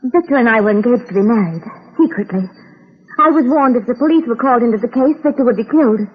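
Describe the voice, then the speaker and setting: An actress playing an anxious, secretive young woman in a vintage radio mystery, with a husky, breathy voice. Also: on the high side, animated, fast talker. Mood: shaken, confessing. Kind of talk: vintage radio drama acting. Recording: dull old radio recording.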